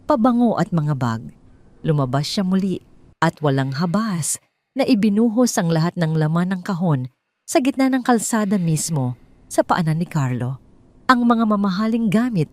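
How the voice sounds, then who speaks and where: A woman with a Filipino accent reads a scripted dramatic story in a measured, emphatic way. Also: medium pitch, darkish tone.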